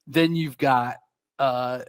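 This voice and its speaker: A man's voice: mid-range voice, fairly even intonation, slow pace, smooth. Laughing, talkative man on a podcast. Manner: laughs often, explains at length. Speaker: podcast co-host. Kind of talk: casual chat.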